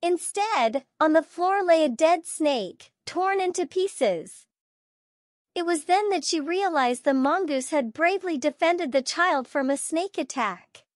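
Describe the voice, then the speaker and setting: A woman's voice: very high-pitched, lively intonation, measured pace, no echo, studio quality. A woman storyteller reading a scripted children's fable as narration.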